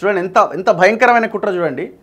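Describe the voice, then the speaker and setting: Male news presenter, Telangana accent, high register, emphatic explanatory delivery. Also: fast, fairly bright tone, breathy edge. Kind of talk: news reading with explanatory commentary.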